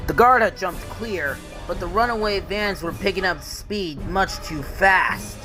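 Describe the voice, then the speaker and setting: A storybook narrator tells a scripted tale in a comic dub. The voice sits at a middle pitch, with music clearly playing underneath. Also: a little slow.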